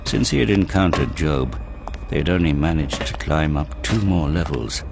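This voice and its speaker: A man's voice: rumbling low, animated, moderate pace, creaky. A man giving grave, intense scripted narration for an audio drama. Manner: intense, grave, literary delivery. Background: prominent music and sound effects.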